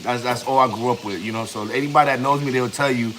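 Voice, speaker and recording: A man's voice: medium pitch, quick pace, fairly bright tone, slight background noise, low-quality recording. A man in his thirties, a family vlogger, chatting casually on a live stream in slang, rambling and chatty. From United States. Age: 30s.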